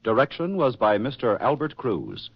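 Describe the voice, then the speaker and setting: A man with an American accent reading formal, measured scripted announcements in 1940s radio style. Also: mid-range voice, huge pitch swings, gravelly, slightly rough, dry recording. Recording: muffled old radio broadcast, music behind.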